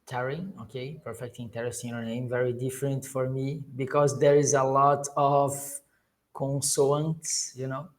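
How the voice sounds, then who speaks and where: A man's voice: mid-range voice, little pitch movement, normal speed, low-quality recording. A man from Brazil with a Brazilian Portuguese accent, a native Portuguese speaker chatting hesitantly in an English class over a video call. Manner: hesitant, restarts often, learner's English. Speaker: English student. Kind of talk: casual conversation class.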